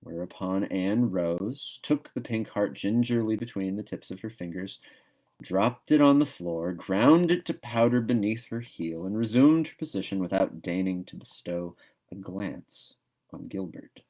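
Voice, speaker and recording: A man with a fairly low voice narrates a storybook. His reading is expressive and deliberate, leaning on key words for dramatic effect. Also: poor audio. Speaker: storybook reader. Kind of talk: expressive audiobook-style narration.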